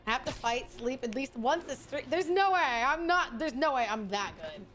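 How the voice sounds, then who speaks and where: A young woman streaming a game, chatting casually with viewers, excitable and swearing freely as she plays. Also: high voice, moderate pace. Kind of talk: casual gameplay commentary.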